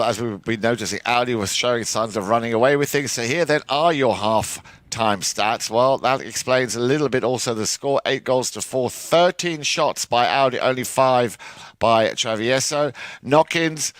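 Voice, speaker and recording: A man's voice: mid-range voice, a bit bright, no echo. A man giving live commentary on a polo match as the main play-by-play commentator. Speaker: sports commentator.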